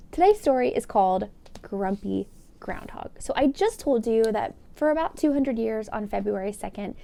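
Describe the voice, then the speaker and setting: An American woman hosting a children's read-aloud, explaining and reading in an animated way, speaking directly to young listeners. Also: mid-range voice, creaky.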